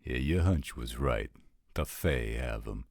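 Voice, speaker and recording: Male actor as roguish supernatural informant, bottomless bass pitch, blunt slang, dry studio sound, roleplay audio. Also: expressive, slow pace, darkish tone, no echo, exaggerated voice. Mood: blunt, matter-of-fact. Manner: slangy, blunt, drawling street talk. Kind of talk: audio roleplay drama acting.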